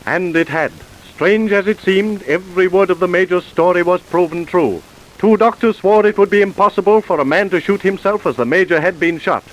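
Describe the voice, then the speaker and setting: An actor narrating a crime story in the scripted, solemn, dramatic style of old-time radio. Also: male voice, high-pitched, fairly quick, lo-fi sound, lightly acted.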